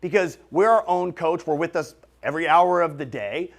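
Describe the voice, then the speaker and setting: Male, late 30s, higher-pitched voice. Motivational vlogger delivery, emphatic and direct. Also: moderate pace. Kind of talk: motivational monologue to an audience.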